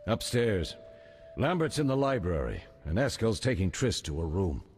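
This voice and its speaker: An actor playing a wise, fatherly older mentor of monster hunters in a video game, measured and instructive. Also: low voice, very animated, darkish tone, slight background noise. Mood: reflective.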